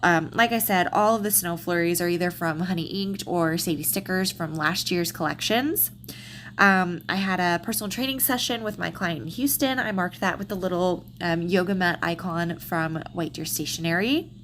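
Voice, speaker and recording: Casting: Southern woman, rambling planner vlogger, slightly stuffy nose, lowish voice, faint hum. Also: normal speed, fairly bright tone. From Texas, USA. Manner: chatty, rambling, many ums and restarts. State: slightly congested. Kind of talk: casual plan-with-me voiceover.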